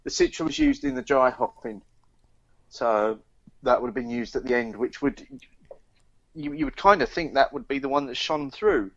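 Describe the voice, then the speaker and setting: A man co-hosting a podcast in casual review chat, haltingly repeating words as he thinks aloud. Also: mid-range voice, wildly swooping pitch, creaky, fairly bright tone. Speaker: podcast co-host. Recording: dull, slightly lo-fi voice recording.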